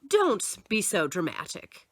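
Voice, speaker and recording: A woman's voice: mid-range voice, huge pitch swings, slow, a bit bright, breathy edge, voice pushed down, exaggerated voice. A woman doing an exasperated, firm mother. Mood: firm bargaining. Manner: firm, impatient bargaining. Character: exasperated mother tidying toys.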